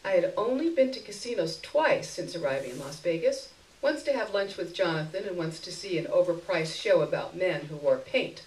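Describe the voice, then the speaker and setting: A woman giving an expressive live reading of a first-person short story, in a literary narration style. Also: lowish voice, normal speed, slight background noise. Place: indoor stage before an audience. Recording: roomy live stage recording, distant mic.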